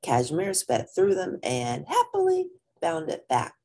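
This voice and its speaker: A woman reading a children's story aloud in an animated, dramatic, expressive narrating style. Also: on the low side, slow pace, a little hiss or hum.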